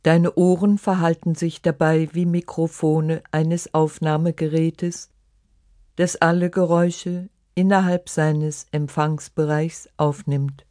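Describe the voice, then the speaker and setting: A meditation guide reading a scripted relaxation in short, measured, instructive phrases. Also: medium pitch, little pitch movement, darkish tone, no room sound, clean studio sound. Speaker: yoga nidra meditation guide.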